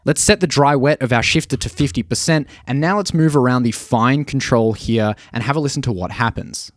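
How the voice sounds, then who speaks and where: A man giving a measured, clearly explained tutorial narration as a music production tutor. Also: medium pitch.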